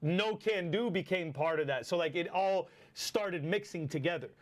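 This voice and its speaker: A man in his forties with a Lebanese accent tells stories in loose, casual talk, full of swearing and fillers. His voice sits a little high for a man. His delivery stays animated and lively. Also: moderate pace. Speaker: battle rapper guest. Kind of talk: casual chat.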